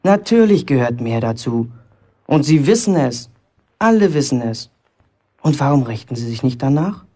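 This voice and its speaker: An actor playing a defiant young apprentice bricklayer in a German radio play, lecturing sarcastically like a pompous prosecutor with rhetorical questions. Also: male voice, medium pitch, sing-song, moderate pace, warm tone. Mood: sarcastic, accusing.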